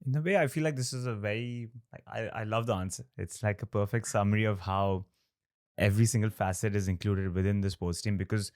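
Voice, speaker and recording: A man's voice: on the low side, brisk, a little mellow, dry recording, clean studio sound. A man hosting an interview podcast, speaking in an enthusiastic, affirming way. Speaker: podcast host. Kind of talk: casual interview chat.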